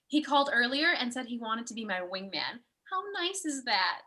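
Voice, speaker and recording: A chirpy, apologetic young woman, acted by a woman in a comedy table read. Her voice is bright and rather high, with very animated pitch. It comes over a video call. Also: moderate pace. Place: room at home, video call. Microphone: video call computer microphone.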